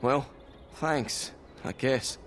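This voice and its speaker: An actor playing a grumbling, disheartened apprentice in a fantasy video game, curt and resigned. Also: male voice, medium pitch, drawn-out words.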